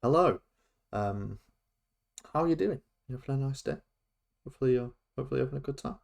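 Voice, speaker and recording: A young man hosts a laid-back podcast, talking at an everyday middle pitch. He laughs often and trips over his words while he chats. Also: lively intonation, quick pace, vocal fry, a little mellow. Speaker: podcast host. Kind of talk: casual chat.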